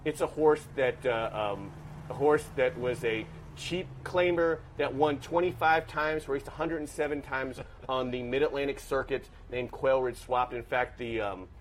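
A man's voice: medium pitch, measured pace, slight background noise. A man of fifty who grew up in Washington, D.C., a professor and guest, talking through his background in a thoughtful, analytical way with many restarts. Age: 50s. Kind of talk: casual interview storytelling.

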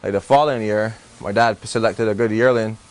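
A young man with a Barbadian accent talks fast in a low voice, rambling and restarting as he recalls his life in a relaxed interview. He sounds like a horse trainer chatting freely with a host. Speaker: racehorse trainer. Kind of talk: casual interview.

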